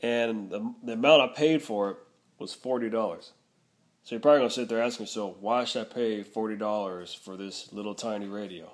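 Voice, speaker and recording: A man's voice: fairly low pitch, a little fast. A man narrating a casual product review, explaining things step by step.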